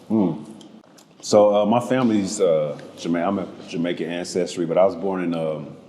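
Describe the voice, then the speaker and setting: A man's voice: sits low, moderate pace, dark tone, slight background noise. A young man from Georgia, a painter guest in a casual interview, talking laid-back with lots of slang and swearing. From Georgia, United States.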